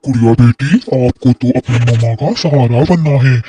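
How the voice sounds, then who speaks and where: A man's voice: mid-range voice, moderate pace, warm tone, husky, natural voice. A man voicing a kind, supportive pigeon friend in a children's cartoon, speaking in an earnest, polite, consoling way.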